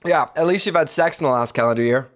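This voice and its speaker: A man's voice: a little high, quick pace, natural voice. An actor playing a wry, skeptical young man in a podcast sitcom.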